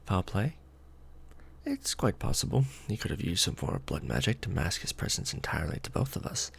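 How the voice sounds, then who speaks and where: Casting: male actor as brooding, protective vampire lord for ASMR roleplay; low creaky voice, hushed formal delivery with sweeping intonation. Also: sing-song, exaggerated voice. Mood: pensive, suspicious. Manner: formal, archaic wording, slow and hushed. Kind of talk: ASMR romantic roleplay, soft close speaking.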